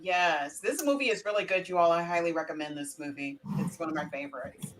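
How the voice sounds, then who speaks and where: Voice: mid-range voice, fairly quick, rough recording. A podcast co-host chatting casually with friends. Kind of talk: casual chat.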